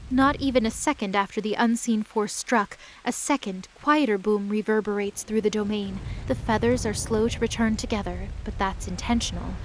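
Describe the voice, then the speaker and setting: A woman narrating an audio drama in a measured storytelling voice. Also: mid-range voice, a little hiss or hum, rough recording. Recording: narration over loud music.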